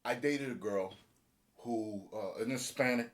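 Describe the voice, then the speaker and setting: A man hosting a casual reaction chat, talking in an animated way and acting out other people's lines. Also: unhurried. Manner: animated, acts out quotes with shifting pitch. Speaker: show host.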